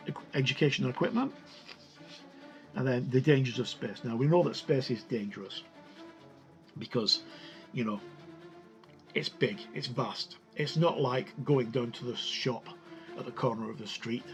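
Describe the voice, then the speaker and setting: Man, medium pitch, tabletop game reviewer, casual walkthrough, halting informal delivery, clear music in the background. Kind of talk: casual book walkthrough, alternating read-out passages and commentary.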